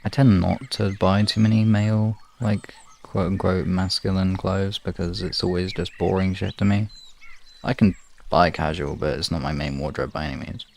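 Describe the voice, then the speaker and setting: A man with a lowish voice full of heavy vocal fry chats informally on a livestream. He talks in halting, rambling phrases and swears casually. The home recording carries some faint noise. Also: steady tone, a little mellow. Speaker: streamer chatting with live viewers. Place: room in an apartment. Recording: clearly noisy home room recording.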